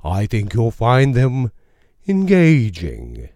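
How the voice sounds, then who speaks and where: A man voicing a gaunt, courteous old bookstore owner with a strong, crisp voice and a hard-to-place accent, speaking slowly and formally. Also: mid-range voice, wildly swooping pitch, warm tone, no room sound, clean studio sound, full character voice. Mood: courteous, eerie. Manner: slow, measured, formally polite.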